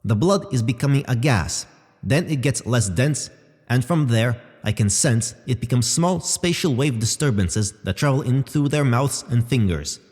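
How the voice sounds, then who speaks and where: A man's voice: mid-range voice, animated, a little mellow, natural voice. Man playing a precise robot in a cavernous hall. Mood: analytical. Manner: formal, measured, explanatory. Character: calm analytical male robot companion, precise and unhurried. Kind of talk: scripted audio drama acting. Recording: long reverberant hall.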